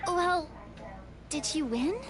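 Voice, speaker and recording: A woman voicing a girlish, caring young woman in a video game, expressive and gentle in her delivery. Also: high voice, slow, music in the background. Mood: concerned. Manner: expressive, concerned, gently teasing. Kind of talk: video game character acting.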